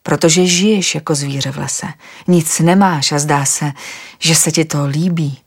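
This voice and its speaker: A woman's voice: deep voice, fairly even intonation, slightly rough. A woman reading aloud, voicing a tired, agitated man who fires off indignant questions in a raised voice. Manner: loud, exasperated questioning.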